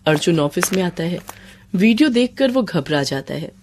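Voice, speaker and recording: A woman's voice: fairly low pitch, big pitch swings, moderate pace, darkish tone. A woman narrating a scripted moral story for children.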